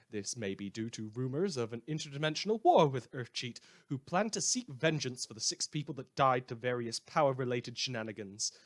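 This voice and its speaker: A man playing a sardonic radio newsreader in a post-apocalyptic world, reading scripted satirical news with dry, rambling asides. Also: mid-range voice. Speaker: radio host.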